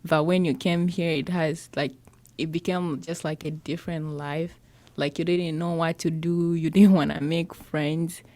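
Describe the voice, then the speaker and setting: A teenage girl from Congo, a high school student, telling her own story in an interview, hesitant and full of "like" fillers. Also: steady tone, measured pace, mellow. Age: teens. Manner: hesitant, with frequent "like" fillers and restarts.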